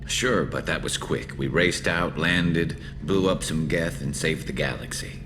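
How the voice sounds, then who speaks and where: A man playing a loyal, battle-weary male alien soldier in a video game, with a dry, wry, measured delivery. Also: very deep voice, over music. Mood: wry, relaxed.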